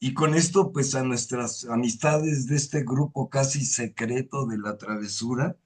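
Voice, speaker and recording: A man's voice: medium pitch, steady tone, darkish tone. A man hosting a conversational interview, talking in long, hesitant sentences full of fillers. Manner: hesitant and wordy, many drawn-out fillers. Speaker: host. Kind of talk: conversational interview on a video call.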